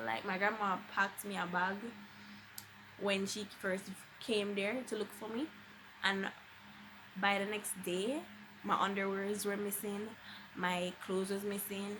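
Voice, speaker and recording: A woman's voice: mid-range voice, little pitch movement, a little slow, noisy background. A young adult woman with a Jamaican accent, chatting casually and expressively as a vlogger telling a personal story, with emphatic conversational delivery.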